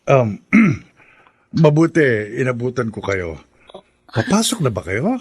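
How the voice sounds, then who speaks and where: An actor playing a persistent, smooth-talking widowed shopkeeper in a Filipino radio drama, coaxing and hesitant, with a husky, gravelly voice. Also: male voice, medium pitch, wildly swooping pitch, unhurried, full character voice. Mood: ingratiating.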